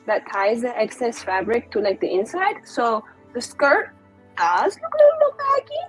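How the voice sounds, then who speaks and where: A woman playing a tabletop game, animated and given to putting on character voices. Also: fairly high pitch, moderate pace, husky, soft background music, poor audio. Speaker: player. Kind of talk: casual tabletop play.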